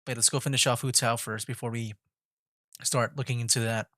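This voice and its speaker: A man's voice: medium pitch, level tone, brisk, a bit bright, no room sound, studio quality. A man livestreaming a video game, commenting casually and reading dialogue choices aloud. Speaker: gaming livestreamer.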